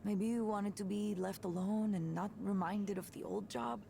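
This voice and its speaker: A woman's voice: mid-range voice, steady tone, moderate pace, creaky, warm tone, a little hiss or hum. An actress voicing an upbeat, candid young woman, a musician and old friend, in a visual novel game. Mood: gentle, concerned.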